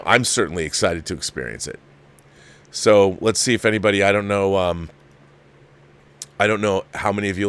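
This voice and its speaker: Male livestream film commentator, lowish voice, casual, rambling and emphatic, circles back on his phrases. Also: a bit bright. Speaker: host of a live movie review show.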